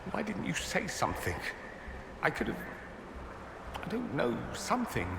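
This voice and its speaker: A theatrical, sharp-tongued mage in a fantasy game. His emotive voice creaks and swings widely in pitch, in a roomy echo. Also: wildly swooping pitch, normal speed, background noise, full character voice. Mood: hurt, heartbroken. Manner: dramatic, emotive. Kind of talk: video game voice acting. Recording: roomy echo, music behind.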